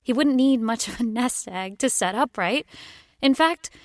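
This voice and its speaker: An actress playing a flustered, defiant young society daughter in a 1920s period audio drama. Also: female voice, on the high side, lively intonation, moderate pace, no echo, studio quality, lightly acted. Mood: troubled, hesitant. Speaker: actor.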